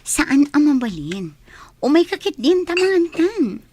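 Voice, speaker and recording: Lively, headstrong boss's daughter. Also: female voice, sits high, unhurried, slight background noise. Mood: coaxing. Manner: coaxing, headstrong, emotive. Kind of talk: Philippine radio drama acting.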